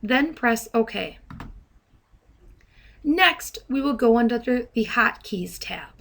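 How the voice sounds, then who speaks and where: A woman presenting step-by-step software training in a deliberate, instructional manner. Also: a little high, expressive, gravelly. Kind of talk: step-by-step tutorial narration. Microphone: handheld dictation microphone.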